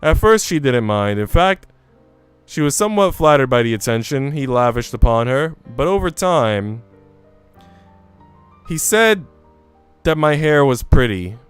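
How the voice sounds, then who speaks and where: Man reading as a modest young housemaid, clear-voiced. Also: mid-range voice, big pitch swings, measured pace, long pauses, faint music behind. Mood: wistful, self-deprecating. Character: young housemaid hesitantly telling her own story. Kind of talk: visual novel dialogue read aloud.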